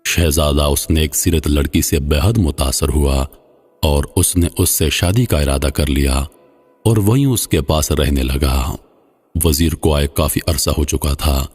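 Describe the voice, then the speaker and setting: A man narrating a scripted moral folk tale in formal literary style, with a measured, solemn delivery. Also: rumbling low, a little mellow, breathy edge. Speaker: storyteller, narrator. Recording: long hall-like reverb, slightly muffled voice.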